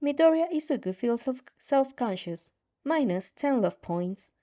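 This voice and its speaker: A woman's voice: high-pitched, animated, unhurried, warm tone, dull audio. A woman voicing a game-like status system that announces point tallies in a formal, clipped way. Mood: flat announcing.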